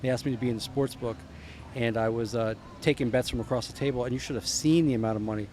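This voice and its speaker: A middle-aged man from New Jersey talks as a podcast guest, wandering through stories and piling up names with plenty of pauses. His voice sits at an ordinary pitch. A faint hum of city ambience runs underneath. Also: steady tone, a little mellow. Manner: rambling storyteller, drops names, trails off with "uh". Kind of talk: casual chat.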